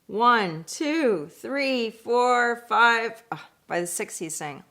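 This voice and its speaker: Slow-paced woman reading a story aloud. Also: on the high side. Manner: expressive, deliberate, explains pictures to listeners. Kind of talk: read-aloud storytelling with chatty asides. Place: indoors at home.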